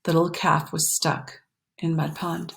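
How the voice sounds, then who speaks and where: A woman reading a children's picture book aloud in an expressive, animated way, pausing for emphasis and talking to young listeners. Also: low voice, creaky. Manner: animated, explanatory, pausing for emphasis and dropping to hushed phrases. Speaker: storytime reader.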